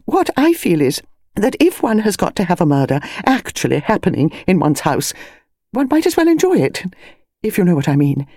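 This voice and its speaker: A woman voicing a breathless, gushing, excitable upper-class older lady in an audiobook. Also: lowish voice, moderate pace, no room sound, clean studio sound, voice pushed up. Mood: gleeful, thrilled. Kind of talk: audiobook character voice.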